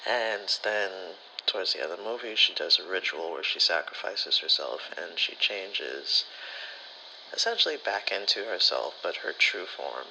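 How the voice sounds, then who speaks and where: A man's voice: low voice, big pitch swings, moderate pace, background noise. A man in a casual ASMR storytelling style, rambling and slangy, with profanity and acted-out bits of dialogue. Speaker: spiritual content creator.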